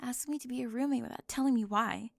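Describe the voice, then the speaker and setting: A woman acting an organized, high-strung young cheerleader in an intimate audio roleplay, flustered, fussy and emphatic yet affectionate. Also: fast talker, creaky, no echo, studio quality. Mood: incredulous.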